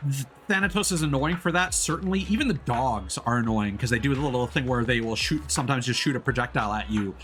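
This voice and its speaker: A man giving casual, off-the-cuff commentary as a livestreamer, thinking aloud in a halting way. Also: mid-range voice, lively intonation, fairly bright tone. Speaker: livestreamer, gamer. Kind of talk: casual gaming stream commentary.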